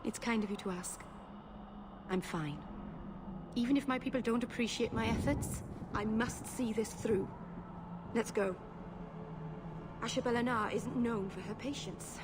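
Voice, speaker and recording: A woman's voice: fairly high pitch, a little slow, long pauses, lightly acted. A woman voicing a shy, earnest young elven mage in a video game, with a nervous, apologetic, rambling manner. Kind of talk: video game dialogue acting. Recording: game capture with clear background noise.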